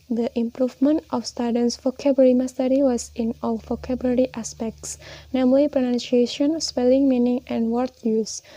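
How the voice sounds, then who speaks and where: A woman's voice: on the high side, mellow, no room sound, low-quality recording. A young woman with an Indonesian accent, a student reading her poster presentation aloud carefully and steadily, now and then correcting herself. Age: young adult.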